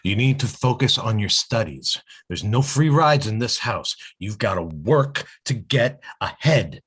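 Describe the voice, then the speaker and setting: A man playing a stern, demanding father with a husky voice and curt delivery in a staged play reading. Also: on the low side, expressive, measured pace, a bit bright, heavily acted.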